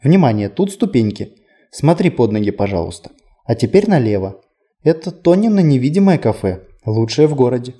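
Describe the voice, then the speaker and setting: A whimsical male city guide as a man reads him in an audiobook, chatting playfully and poking fun at himself. The voice is mellow and mid-pitched, with lively swings of intonation. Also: normal speed, natural voice. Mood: brisk, inviting. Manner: conversational, playful, self-deprecating. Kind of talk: audiobook character dialogue.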